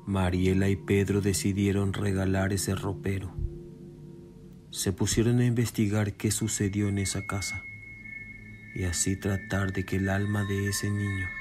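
Very deep, even-toned male horror narrator. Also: measured pace. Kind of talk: dramatic scripted horror radio narration. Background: prominent music.

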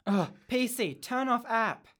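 A man's voice: very high voice, lively intonation, measured pace, heavy background noise. A man acting an adventurous schoolboy inventor in a radio drama. The boy is earnest and declarative, a would-be hero who takes his mission seriously. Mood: exasperated.

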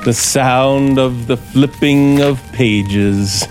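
An American actor in a 1950s radio drama playing a bitter, sardonic male scriptwriter plotting murder, with a theatrical, deliberate delivery. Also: mid-range voice. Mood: bitter, menacing. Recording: vintage 1950s radio recording with music.

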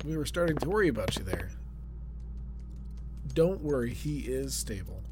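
A man playing a supportive spaceship crewmate in an audio drama, with a gentle, reassuring manner. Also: mid-range voice, big pitch swings, moderate pace, background noise, lightly acted.

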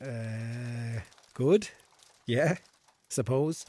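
A man's voice: medium pitch, very slow, mellow. Rambling drunk young Irishman. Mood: hungover, awkward. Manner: rambling, hesitant, slangy. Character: drunk, hungover young man after a one-night stand. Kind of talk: naturalistic audio drama acting.

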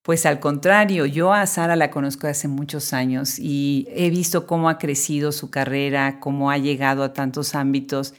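A woman's voice: sits low, fairly even intonation, moderate pace, hardly any pauses. A woman hosting a podcast interview, reading a formal introduction and then chatting in a friendly way. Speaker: podcast host.

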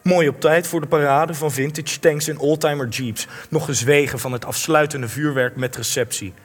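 An actor in a Dutch radio play, playing an earnest, defensive researcher who argues with indignant idealism. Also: male voice, fairly high pitch, steady tone, normal speed, husky. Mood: irritated, defensive. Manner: earnest, argumentative. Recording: long reverb, music underneath.

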